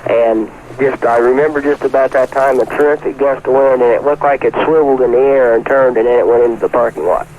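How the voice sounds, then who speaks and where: A man's voice: medium pitch, steady tone, brisk, few pauses, a little mellow, highs cut off. A man casually recounting what he witnessed, hesitant and folksy. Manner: plain-spoken, hesitant, folksy grammar. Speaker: eyewitness. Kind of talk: casual spoken recollection. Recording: radio news interview, faint noise.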